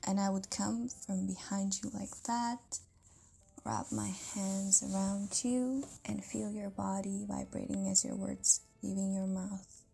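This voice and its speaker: A woman playing a caring, tender partner in an intimate audio roleplay, talking casually in a soft, hesitant way with breathy near-whispers. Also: medium pitch, steady tone, slow, faint music behind, heavily acted, poor audio. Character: caring, tender live-in partner of an amnesiac lover.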